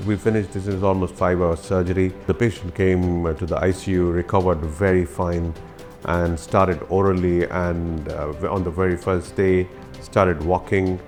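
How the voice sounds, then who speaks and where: This is a man with a low-pitched voice. He talks as a surgeon laying out a case. Faint music runs underneath. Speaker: consultant gastrointestinal surgeon. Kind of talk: explaining a case to camera.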